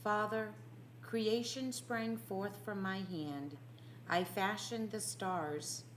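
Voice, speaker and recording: A woman's voice: medium pitch, takes it slowly, a bit bright, a little hiss or hum, rough recording. A woman voicing a solemn, resolute man in anguished prayer, grave and poetic, in an audiobook. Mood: grave, pleading.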